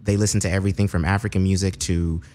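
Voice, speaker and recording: A man from Seattle, a musician guest in a radio studio, chatting in a relaxed way, full of "you know". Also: sits low, fast. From Seattle, Washington. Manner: easygoing, lots of fillers like "you know". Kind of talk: relaxed interview conversation.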